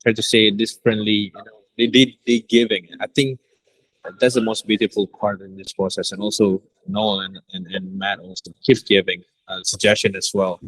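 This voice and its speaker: Husky-voiced man, halting and low. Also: a bit bright, no room sound, low-quality recording. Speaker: director of an audio drama. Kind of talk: reflective panel interview answer.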